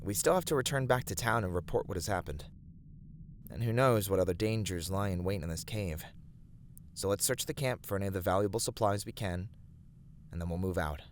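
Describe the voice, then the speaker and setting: A man acts out a steadfast male adventurer in a fantasy audio roleplay. His voice sits on the low side, with measured, slightly formal phrasing that encourages and plans. Clear background ambience runs behind the voice. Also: slight background noise, natural voice. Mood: relieved, practical. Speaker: voice actor in a roleplay. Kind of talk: scripted ASMR fantasy roleplay addressed to the listener.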